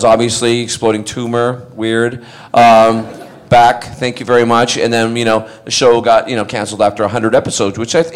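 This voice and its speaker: Middle-aged actor at a fan panel, lively anecdotes, keeps laughing and doing voices. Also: male voice, mid-range voice. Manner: animated, laughing, acts out other voices. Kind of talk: anecdotal storytelling to an audience.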